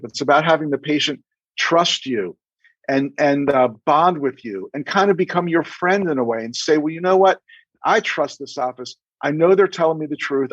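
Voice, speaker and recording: A man's voice: on the high side, dry recording, highs cut off. A middle-aged man speaking as a podcast guest, giving emphatic, conversational advice and acting out imagined dialogue. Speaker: podcast guest, dentist and practice coach. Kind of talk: animated conversational advice with anecdotes.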